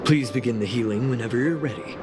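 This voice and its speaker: A man voicing a harried but kindly fugitive, expressive and dramatic, in an anime game. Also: mid-range voice, quick pace, very noisy, close to an everyday voice. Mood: resigned, polite. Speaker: game character voice actor. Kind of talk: scripted anime game dialogue. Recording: loud music behind the voice.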